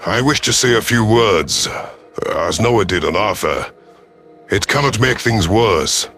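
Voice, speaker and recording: A man's voice: fairly low pitch, lively intonation, a little slow, fairly bright tone, faint music behind. A man voicing a grey alien warlord who speaks stiffly and formally, without contractions. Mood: earnest.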